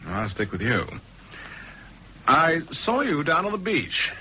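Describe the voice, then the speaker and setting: An actor playing a polite, cool-headed visitor with dry wit in a 1960s radio drama, muffled old broadcast. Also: male voice, on the high side, big pitch swings, slow pace, bright, forceful tone, slight background noise, exaggerated voice. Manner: polite, dryly witty.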